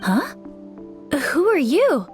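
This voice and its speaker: Earnest, very high-voiced young trainee girl. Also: drawn-out words, heavily acted. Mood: unsure, self-deprecating. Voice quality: girlish, youthful. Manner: earnest, expressive. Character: earnest young female warrior trainee, eager, self-doubting. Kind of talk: animated video game voice acting. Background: game music.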